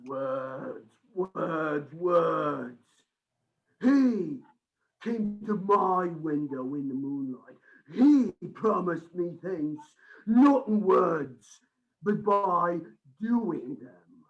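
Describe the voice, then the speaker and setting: Radio play madman: very slow, creaky, fervent, enthralled by his dark master. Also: sits high, lively intonation, lo-fi sound, heavily acted. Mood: brooding, haunted. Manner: halting, fervent, dramatic delivery. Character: raving madman asylum inmate enthralled by a vampire. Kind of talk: theatrical radio play acting.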